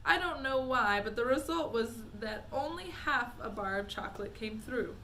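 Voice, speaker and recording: A woman voicing an eccentric, excitable male chocolate maker who talks theatrically. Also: on the high side, moderate pace, a little hiss or hum. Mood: grave. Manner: theatrical, exclamatory.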